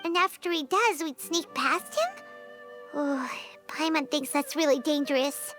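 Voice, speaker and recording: A woman voicing a tiny, chirpy, excitable fairy companion with a squeaky childlike voice in an anime-style video game. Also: a little slow, soft background music. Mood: curious, wary. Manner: refers to self by name, exclaims readily.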